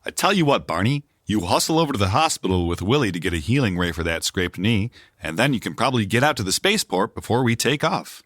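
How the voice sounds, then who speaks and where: A man's voice: on the low side, sing-song, fast, fairly bright tone, dry recording, studio quality. A square-jawed heroic spaceman, clipped and earnest. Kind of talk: 1930s radio drama acting.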